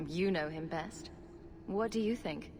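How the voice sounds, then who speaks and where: A woman's voice: fairly low pitch, measured pace, darkish tone, in a large hall, slight background noise, natural voice. A woman voicing a self-assured, dryly sardonic heroine in a video game. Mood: wry, composed. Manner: dry, sardonic. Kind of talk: video game dialogue acting.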